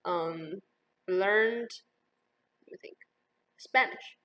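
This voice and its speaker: Slow, hesitant young Taiwanese-raised woman. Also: medium pitch, fairly bright tone, a little hiss or hum. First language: Mandarin Chinese. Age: 20s. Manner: hesitant, many fillers like "um" and "like". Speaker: interviewee, university student. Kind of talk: casual interview answers.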